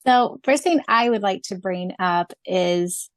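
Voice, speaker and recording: A woman's voice: medium pitch, takes it slowly, no echo. A woman co-hosting a podcast in a casual, chatty conversational style. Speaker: podcast co-host and audiobook narrator.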